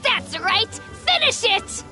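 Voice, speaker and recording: A woman's voice: very high-pitched, very animated, slow, exaggerated voice. A woman voicing an eager, stubborn little boy in a video game, childlike, innocent and earnest. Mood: excited, boastful. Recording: game music behind the voice. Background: game music.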